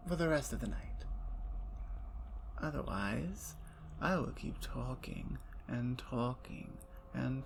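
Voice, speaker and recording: A woman voicing a playful, talkative female vampire in an ASMR roleplay, teasing and dropping into soft whispers. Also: very deep voice, slight background noise, full character voice.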